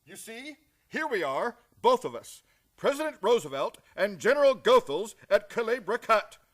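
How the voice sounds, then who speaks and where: High, boisterous, pompous self-styled president. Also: male voice, big pitch swings, normal speed, fairly bright tone, full character voice. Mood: excited. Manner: pompous, exclamatory. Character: deluded, boisterous man who believes he is President Roosevelt. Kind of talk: stage-style radio play acting.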